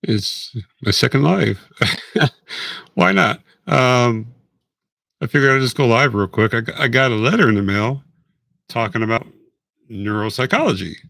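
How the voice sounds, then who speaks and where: A man going live on a casual stream, hoarse and raspy, talking in a rambling, halting way with frequent restarts. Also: mid-range voice, lively intonation, pushed and bright, dry recording. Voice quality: raspy, voice fading. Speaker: patient vlogger going live.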